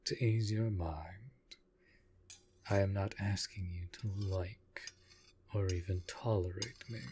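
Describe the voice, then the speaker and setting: A man playing a courtly, protective vampire lord in a roleplay audio drama, speaking softly and formally in measured, deliberate phrases. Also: sits low, slight background noise. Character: courtly, protective male vampire master of a manor.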